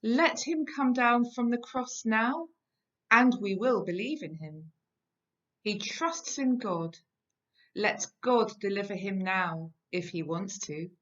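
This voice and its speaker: A middle-aged woman reads scripture solemnly, in measured and deliberate phrases. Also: mid-range voice, expressive, fairly bright tone. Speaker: lay scripture reader. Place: home kitchen, indoors. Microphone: webcam at about arm's length. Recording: dull, lo-fi webcam sound.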